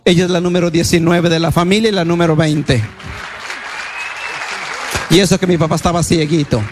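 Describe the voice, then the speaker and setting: A male priest speaks in a notably high voice. He preaches a personal testimony in plain, colloquial and devout words, often repeating a phrase to stress it. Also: fairly even intonation, a little fast, crowd noise, background noise.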